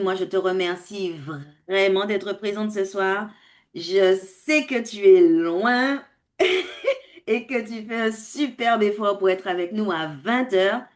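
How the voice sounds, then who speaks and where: A woman hosting an online information meeting for parents on a video call, speaking in an animated way, laughing often and changing pace. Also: mid-range voice. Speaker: host of a parents' club.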